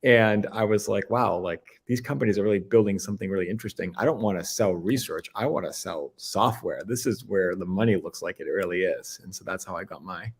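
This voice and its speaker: A man's voice: low voice, fairly quick, hardly any pauses, husky. A man with a Boston accent, a guest telling stories in a laid-back way during a casual interview.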